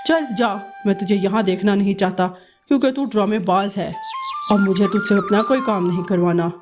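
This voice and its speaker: A woman's voice: mid-range voice, lightly acted. A woman voicing a bossy, harsh grown male crow who scolds and orders his worker around in a cartoon, with music playing behind. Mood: contemptuous. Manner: scolding, contemptuous, ordering about. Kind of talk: cartoon voice acting. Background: background music.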